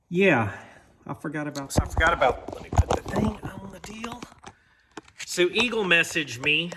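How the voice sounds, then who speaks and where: A man's voice: on the high side, slight background noise. An American man rambling casually and informally in a folksy way, thinking aloud as he hosts a garage vlog. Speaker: vlog host. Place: home garage workshop.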